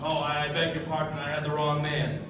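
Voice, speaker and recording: A man performs an older racketeer in a stage radio drama, polite and pleased with himself. His voice sits slightly high. It comes distant and very echoey, over clear background noise. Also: monotone, moderate pace, natural voice. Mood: smug, sociable. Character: genteel, sinister older gangster and gambler. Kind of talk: 1940s-style live radio play acting. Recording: echoey stage, distant mic, noisy room.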